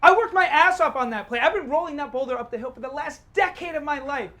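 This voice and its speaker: Defensive, driven female playwright, short film drama. Rough, husky voice, halting, profane delivery. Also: medium pitch, lively intonation. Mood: furious. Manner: halting, profane, self-justifying. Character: defensive, ambitious playwright fleeing a long relationship.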